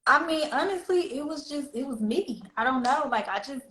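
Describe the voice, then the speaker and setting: A woman's voice: high voice, animated, moderate pace, a bit bright. A woman with a Southern American accent, chatting candidly as an interview guest and laughing often. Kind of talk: casual interview chat. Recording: echoey room with faint hum.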